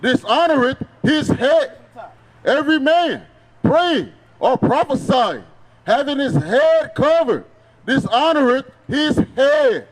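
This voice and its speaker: Very high-voiced man declaiming scripture. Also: sing-song, rough recording. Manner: deliberate, drawn-out delivery. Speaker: scripture reader for a preacher. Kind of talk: loud declamatory Bible reading.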